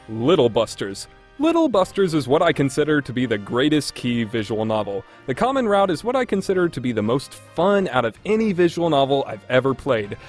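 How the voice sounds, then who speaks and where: A man delivering a scripted review narration in an opinionated, emphatic way. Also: medium pitch. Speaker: reviewer, list host.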